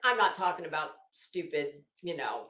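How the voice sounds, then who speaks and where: A woman's voice: sits low, sing-song, moderate pace, a bit bright, echoey room. A woman livestream host giving casual, sardonic commentary with theatrical, emphatic readings. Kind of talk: casual commentary with dramatic reading of a legal document.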